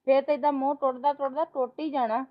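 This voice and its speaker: A woman's voice: high-pitched, steady tone, quick pace, natural voice. A woman playing a sensible elder sister-in-law, patiently giving advice. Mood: advising. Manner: advising, explaining patiently. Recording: muffled lo-fi.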